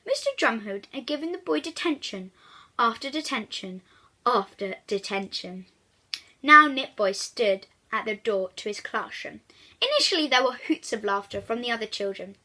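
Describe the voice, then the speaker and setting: A woman reads a children's storybook aloud in a high, very bright voice. She is animated and expressive, talking directly to young listeners as she goes. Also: moderate pace. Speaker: children's book reader.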